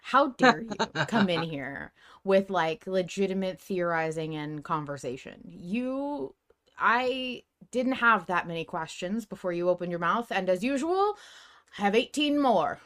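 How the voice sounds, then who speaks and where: A woman in her thirties hosting a casual fan discussion podcast, talking in an animated, emphatic way and swearing casually. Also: medium pitch, unhurried. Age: 30s. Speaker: podcast host.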